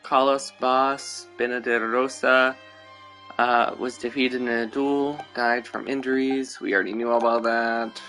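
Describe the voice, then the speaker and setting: A man's voice: medium pitch, flat delivery, a little slow, sharp tone. A man giving casual, joking reaction commentary as he plays a video game. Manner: informal, joking. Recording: faint game music behind.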